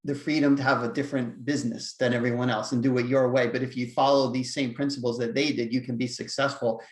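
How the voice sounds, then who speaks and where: A man's voice: medium pitch, flat delivery, quick pace, reverberant. A man co-hosting a casual webinar for dog trainers, reflective and explaining his points steadily.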